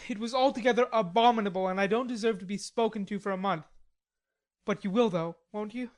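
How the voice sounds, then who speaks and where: A woman reading an audiobook, voicing a stubborn, hot-tempered young gentleman in indignant outbursts. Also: medium pitch, brisk, close to an everyday voice. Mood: contrite. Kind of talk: audiobook character voice.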